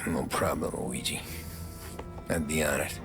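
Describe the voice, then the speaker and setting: An actor playing a modest but tough young American mobster in a period game, wry and laid-back. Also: male voice, a bass voice, steady tone, unhurried, creaky, music in the background, full character voice. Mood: obliging. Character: modest, tough young 1930s mob driver. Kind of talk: dramatic video game acting.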